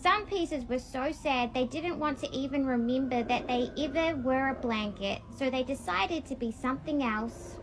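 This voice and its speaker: A woman's voice: high-pitched, moderate pace, hardly any pauses, noisy background. A woman reading aloud in a childlike storybook manner, with a casual, homemade read-along style. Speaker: vlogger reading a picture book aloud.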